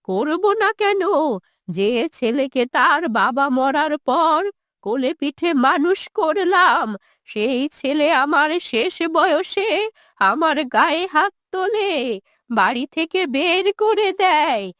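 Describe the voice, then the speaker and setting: A woman's voice: sits high, lively intonation, takes it slowly, fairly bright tone, no room sound, clean studio sound, exaggerated voice. A woman voicing a bitter, grieving old village mother in a cartoon moral story, with a plaintive, reproachful delivery. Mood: bitter, hurt.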